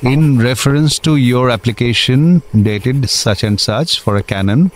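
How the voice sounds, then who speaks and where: A man's voice: medium pitch, very slow. An actor playing a self-important, easily rattled district magistrate in a radio comedy, mixing English into his officious orders. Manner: officious, peppers Bengali with English. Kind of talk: Bengali radio comedy acting. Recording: faint background noise.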